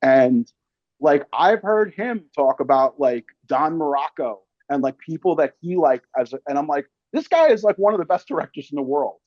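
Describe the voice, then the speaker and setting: A man in casual chat as an interview guest, telling stories in an animated, slangy way and swearing freely. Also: a little high, moderate pace, no room sound, lo-fi sound. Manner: slangy, calls listener man and brother. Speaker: interview guest, actor and filmmaker.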